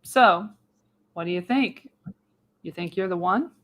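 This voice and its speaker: A woman's voice: fairly low pitch, unhurried, fairly bright tone. Radio play role: older female seer, folksy, wry, knowing, acted by a woman at a table read.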